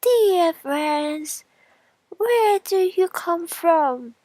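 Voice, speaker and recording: A woman voicing an excitable, proud little talking straw in a storybook, with a dramatic, exclamatory delivery. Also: very high-pitched, very slow, no echo, pitched above the performer's own voice, exaggerated voice. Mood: friendly, curious.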